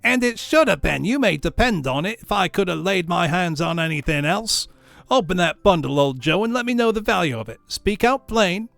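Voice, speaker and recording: Man putting on a brazen, high-pitched charwoman. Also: animated, moderate pace, vocal fry, fairly bright tone, no echo, full character voice. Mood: bold, impatient. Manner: scornful, brash, emphatic. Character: brazen, gloating charwoman, coarse and defiant. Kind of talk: storybook character voice.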